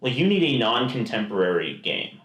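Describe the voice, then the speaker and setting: Male podcast co-host, mid pitch, excitable rambling delivery, echoey room. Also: moderate pace. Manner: enthusiastic, rambling with restarts. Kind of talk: casual chat. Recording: roomy echo.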